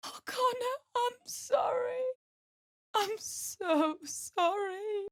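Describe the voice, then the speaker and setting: A woman's voice: very high-pitched, extremely slow, dry recording, studio quality, exaggerated voice. A woman playing a distraught young pregnant widow in a video game, emotional and pleading, earnest and defiant in her grief. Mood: tearful, pleading.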